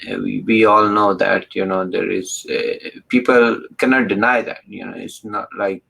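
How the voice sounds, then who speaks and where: Low gravelly male interview host. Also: little pitch movement, pushed and bright, poor audio. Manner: halting, many restarts and fillers. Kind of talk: casual interview talk.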